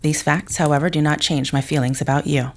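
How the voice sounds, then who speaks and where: Woman playing a low-voiced, emotionally restrained psychic mother. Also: steady tone, close to an everyday voice. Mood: calm, guarded. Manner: formal, measured, precise. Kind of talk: audio drama acting.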